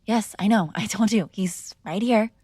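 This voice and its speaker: A woman's voice: mid-range voice, normal speed, a little mellow, husky, no room sound. A young woman performing an audio roleplay as a defiant, sarcastic mob boss's daughter arguing with her father. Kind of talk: scripted ASMR girlfriend roleplay.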